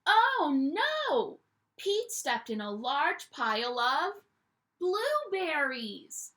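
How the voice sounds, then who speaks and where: A young woman teacher reading a picture book aloud to children at home, in an animated storytime voice that invites them to join in. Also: sits high, drawn-out words. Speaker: primary school teacher.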